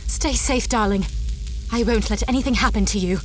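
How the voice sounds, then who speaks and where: A woman's voice: fairly high pitch, moderate pace, slight background noise, natural voice, rough recording. A woman playing a proud, determined wife and adventuress in a sci-fi audio drama, speaking formally and with command. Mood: anxious. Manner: formal, crisp, resolute.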